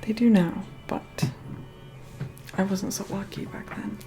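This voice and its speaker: A woman playing a reluctant, haughty vampire in a whispered ASMR roleplay, hushed, bossy and begrudging. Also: mid-range voice, steady tone, slow, music in the background, natural voice. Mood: grudging.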